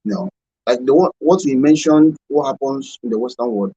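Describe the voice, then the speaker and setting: Husky, animated Nigerian man on dull radio. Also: a little high, normal speed, no room sound. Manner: explanatory, repeats points for emphasis. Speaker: panel guest. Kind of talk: earnest radio discussion. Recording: dull, band-limited radio sound.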